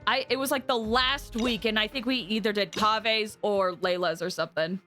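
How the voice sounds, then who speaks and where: A woman chatting casually to viewers as she hosts a game livestream, in an animated, chatty manner, sounding a little tired. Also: mid-range voice, normal speed. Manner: animated, chatty, with excited exclamations. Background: background music.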